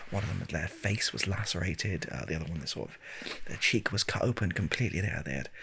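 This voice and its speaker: A British man telling a rambling anecdote in a soft, breathy ASMR style, hesitant and wryly understated, close to the microphone. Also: deep voice, quick pace, slight background noise. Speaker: ASMR video creator. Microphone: handheld stereo recorder, close up.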